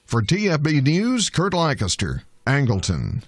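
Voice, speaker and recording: A man's voice: a little high, wildly swooping pitch, unhurried, no room sound. A man reading a scripted television news story as a reporter.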